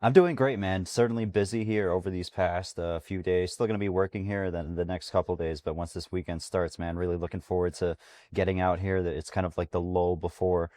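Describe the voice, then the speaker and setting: A man's voice: deep voice, steady tone, a little fast, darkish tone, dry recording. A man chatting casually as a sports podcast co-host. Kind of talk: casual chat.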